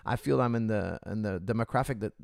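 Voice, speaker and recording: A man's voice: on the low side, fast talker, creaky, darkish tone, dry recording. A man hosting a casual podcast, ranting heatedly to viewers, repeating himself and calling them guys. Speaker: podcast host. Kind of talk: casual opinion rant to viewers. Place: Canada.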